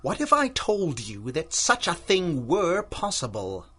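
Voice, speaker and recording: An older radio-drama scientist consumed by his obsession. His voice is forceful and highly animated, with formal, theatrical phrasing. He is courteous on the surface, patronizing and ruthless underneath. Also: measured pace, slightly rough, exaggerated voice. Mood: intense, lecturing. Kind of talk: 1930s-style radio drama acting.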